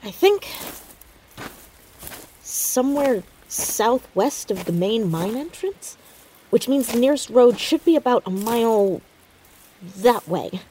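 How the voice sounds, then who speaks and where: A nervy, methodical teenage girl sleuth in an audio drama. Her voice is mellow and unforced, with an earnest, hushed delivery as she reasons things through. A light layer of ambient noise sits behind her. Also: on the high side, big pitch swings, slow, pauses often, low-quality recording. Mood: relieved, matter-of-fact. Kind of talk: naturalistic audio drama dialogue.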